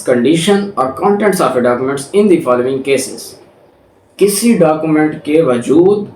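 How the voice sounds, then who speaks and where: Man, Pakistani accent, slightly high voice, didactic law lecturer, repeats key points, roomy sound. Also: darkish tone. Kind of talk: explanatory lecture, reading out statutes then explaining. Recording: roomy, distant mic with background noise.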